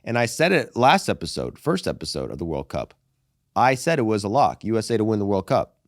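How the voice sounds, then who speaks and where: A young man hosting a casual sports podcast, animated and profane, calling people "brother" and "dude". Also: on the low side, brisk, no room sound, clean studio sound. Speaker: podcast host.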